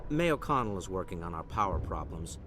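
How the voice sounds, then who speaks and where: A man's voice: deep voice, big pitch swings, a little fast, over music. A man playing the earnest leader of an embattled frontier colony in a video game, with a formal, courteous manner. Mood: weary, earnest. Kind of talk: video game dialogue acting.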